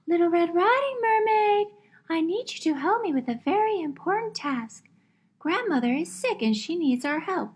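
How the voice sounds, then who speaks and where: Woman as a shrill, exasperated mermaid mother. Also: very animated, normal speed. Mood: urgent request. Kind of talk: puppet show acting.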